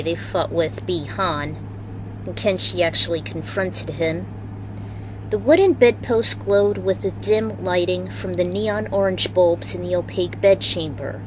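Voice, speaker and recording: A woman hosts a story series in an informal, unpolished manner. She takes her time with the words, talking casually as she recaps and reads aloud. Clear noise runs behind her mid-pitched voice. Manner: informal, unpolished, swears freely. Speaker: host and reader of own fan fiction series.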